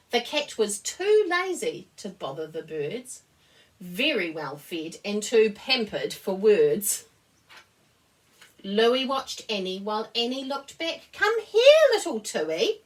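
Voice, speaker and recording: A woman reading a children's picture book aloud as an expressive storyteller. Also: sits high, recorded from a distance, rough recording. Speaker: story reader.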